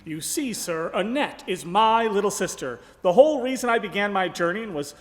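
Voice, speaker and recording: A man's voice: sits high, lively intonation, normal speed. A man playing an earnest, suspicious young adventurer, making dramatic declarations in a live radio melodrama. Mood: accusing. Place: live stage in a ballroom. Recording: reverberant live hall.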